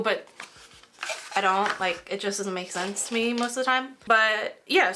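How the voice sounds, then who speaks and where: A woman's voice: fairly high pitch, sharp tone, a little hiss or hum. A young woman with a slightly nasal voice, tired from a cold, rambling casually while she packs orders. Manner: rambling, heavy use of "like". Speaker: crafting vlogger.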